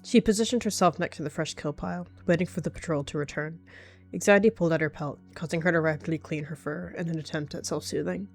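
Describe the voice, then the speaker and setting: Low-voiced woman narrating a roleplay. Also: fast talker. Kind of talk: third-person story narration in an animal fantasy roleplay. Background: faint music.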